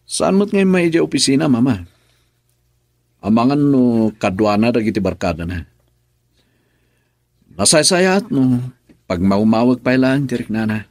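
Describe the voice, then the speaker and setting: A man with an Ilocano accent playing a troubled married son in a radio drama, his voice gravelly and husky. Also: medium pitch, lively intonation, unhurried, pauses often, a little mellow, no room sound. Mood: anxious.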